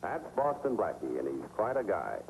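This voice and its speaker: A man's voice: low voice, big pitch swings, normal speed, vocal fry, darkish tone, heavily acted. A man announcing a 1950s television serial in a dramatic, showy style, on a noisy old soundtrack.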